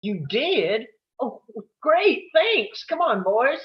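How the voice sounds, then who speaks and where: Woman playing an indignant frontier marshal, slow and swooping. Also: high voice, sing-song, muffled recording, exaggerated voice. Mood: impatient. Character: indignant, stubborn male Old West marshal. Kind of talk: live screenplay table read.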